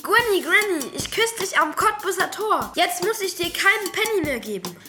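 A woman voicing an excited little schoolboy in a musical radio play. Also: very high voice, normal speed, music in the background. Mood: eager, excited. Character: squeaky excited little schoolboy in kissing game.

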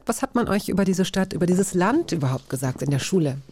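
A woman hosting a conversational interview, phrasing her questions and remarks deliberately, with pauses. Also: on the low side, animated, darkish tone, husky, no room sound. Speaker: interview host.

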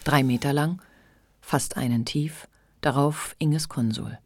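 A woman reading literary narration for a German radio drama in a measured, deliberate way. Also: rumbling low, no echo, clean studio sound. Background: music.

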